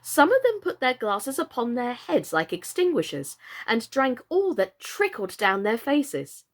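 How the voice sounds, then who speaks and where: Female storyteller, high voice with big swings in pitch, expressive narration for children. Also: measured pace. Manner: theatrical, expressive. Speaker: storyteller, actress.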